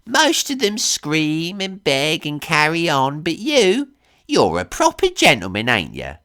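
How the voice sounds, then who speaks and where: Crooning, raspy, high-pitched old mum. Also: male voice, wildly swooping pitch, measured pace, sharp tone, heavily acted. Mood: sweetly menacing. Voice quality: raspy, girlish falsetto. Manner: crooning, sweetly menacing, working-class endearments. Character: crazed killer impersonating his doting, cannibal old mum.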